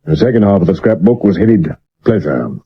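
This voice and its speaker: Husky Victorian police inspector. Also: male voice, low voice, sing-song, full character voice. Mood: grave, explanatory. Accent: British. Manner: deferential, measured, explanatory. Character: sober, matter-of-fact Victorian London police inspector. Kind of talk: 1970s radio drama acting. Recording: dull medium-wave radio recording.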